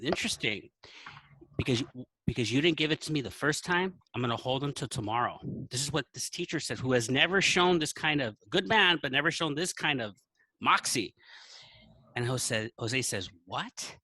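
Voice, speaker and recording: A man's voice: mid-range voice, expressive, moderate pace, sharp tone, dry recording, low-quality recording. A man telling an anecdote in a casual podcast style, acting out the dialogue as he goes. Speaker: podcast guest, teacher. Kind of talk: casual storytelling.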